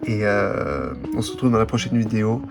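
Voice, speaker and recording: Introspective young man over soft music. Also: fairly low pitch, expressive, brisk, creaky. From Switzerland or France. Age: late 20s. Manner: hesitant, searching, introspective. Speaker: vlogger. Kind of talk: candid personal vlog monologue. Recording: background music.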